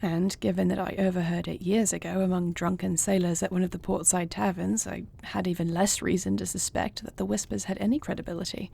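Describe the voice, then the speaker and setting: A woman playing a gentle, poised dragon queen in an intimate audio roleplay, speaking to the listener with formal, courtly phrasing and soft affection. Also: on the low side, little pitch movement, fairly quick, creaky, few pauses, breathy edge, full character voice. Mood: concerned, discreet. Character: gentle, poised dragon queen, newlywed, tender and dryly witty.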